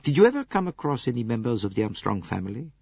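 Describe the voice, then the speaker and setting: A fussy, shrewd middle-aged detective, as a male reader puts him on, crisp and dry in every word. The voice sits well above the reader's own and swings through a lively range. The tape sounds dull and lo-fi, with no room around it. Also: medium pitch, fast talker, dry recording, pitched above the performer's own voice, full character voice.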